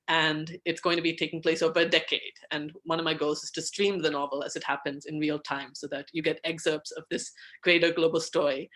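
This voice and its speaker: A man's voice: fairly high pitch, fairly bright tone. An Indian American man, an author on a video call panel, introducing his work in an earnest, flowing way and reading a passage of fiction. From United States, Indian American.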